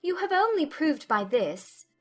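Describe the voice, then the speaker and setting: Witty, challenging young gentlewoman played by a woman, fairly high voice, articulate period audiobook drama, faint music. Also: very animated, unhurried. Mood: teasing. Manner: formal, articulate, pointed. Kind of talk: dramatised classic novel audiobook.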